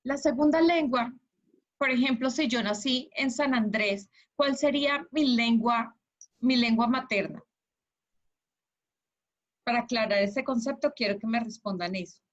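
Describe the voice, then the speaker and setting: A woman's voice: fairly high pitch, a little slow, long pauses. A woman with a Colombian accent lecturing an online class as its teacher, encouraging and checking understanding with questions. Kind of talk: interactive lecture. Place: online video class with slides. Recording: dull, lo-fi online class audio.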